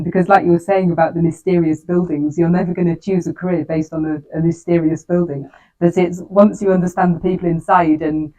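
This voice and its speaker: A woman's voice: fairly low pitch, warm tone, muffled recording. A woman interviewing in an encouraging, conversational way. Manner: encouraging, affirming.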